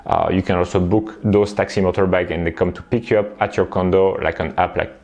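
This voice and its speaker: A man's voice: low voice, a little fast, creaky. A man from Belgium, talking casually to his viewers and often dropping in "like" and "right?". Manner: relaxed, chatty, many fillers and tag questions. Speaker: vlogger and online coach. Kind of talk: casual talk to camera.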